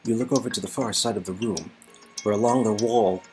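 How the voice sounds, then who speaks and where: A man's voice: lowish voice, fairly quick, darkish tone. Man narrating an adventure game over music. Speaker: narrator and co-performer. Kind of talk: dramatic narration of an interactive game story. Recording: music behind.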